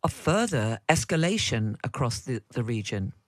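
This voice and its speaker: A man's voice: mid-range voice, very animated, normal speed, no echo. A man presenting news and putting questions to a guest in a broadcast interview style.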